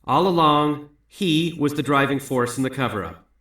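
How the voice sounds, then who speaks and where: An actor playing a politician's guilt-ridden son, giving a deliberate, formal statement in an audio drama. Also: male voice, a little high, pushed and bright. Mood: resolute, contrite. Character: mayor's guilt-ridden son making a public confession. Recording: roomy, echoing recording.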